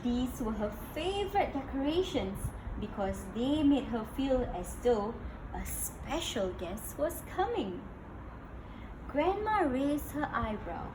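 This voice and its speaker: Female children's read-aloud host, high and very expressive, sing-song delivery, roomy and noisy recording. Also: slow. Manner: sing-song, addressing young listeners with questions. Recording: echoey room with background noise.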